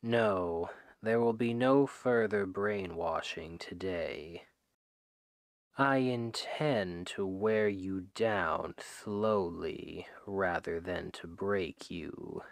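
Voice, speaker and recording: A man playing a suave, menacing, possessive demon king who woos his captive with slow, deliberate, softly condescending speech in an ASMR roleplay, close on the microphone. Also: low voice, no room sound, exaggerated voice. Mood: calm, possessive. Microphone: close microphone, dry.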